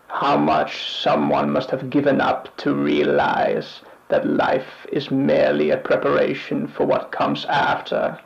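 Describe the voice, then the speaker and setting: A man playing a bleak, weary otherworldly narrator in an analog horror piece, speaking solemnly and deliberately with a gravelly voice. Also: on the high side, highs cut off, exaggerated voice. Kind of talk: slow scripted analog horror monologue.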